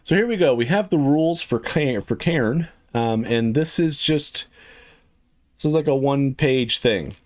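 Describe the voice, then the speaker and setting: Casting: middle-aged man, mid-pitched, casual and rambling, talks his way through a game review. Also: animated, a little slow, a little mellow. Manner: rambling, lots of fillers, laughs to himself. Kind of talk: casual first-impressions walkthrough.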